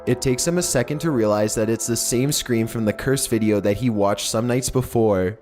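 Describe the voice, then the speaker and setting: A man reading scripted creepy stories in a slow, measured, ominous way. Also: medium pitch, darkish tone, soft background music. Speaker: narrator.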